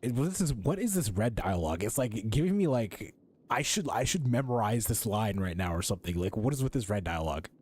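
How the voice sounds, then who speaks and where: A man's voice: on the low side, sing-song, a little fast, a little mellow, slightly rough. A man giving casual, slangy reaction commentary as a game streamer, incredulous and prone to swearing. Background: faint game music.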